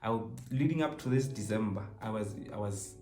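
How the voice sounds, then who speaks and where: A young man with a South African accent talks in a fairly low voice, joking his way through viewer questions. He slips between languages as he chats. The room echoes and music plays faintly behind him. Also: brisk. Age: 20s. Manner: animated, joking, code-switches between languages. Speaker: YouTuber answering viewer questions. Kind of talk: casual chat to camera.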